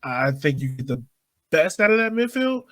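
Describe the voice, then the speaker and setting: A man's voice: a little high, very animated, normal speed. A man hosting a sports podcast, talking casually and informally in a conversational way. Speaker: podcast host.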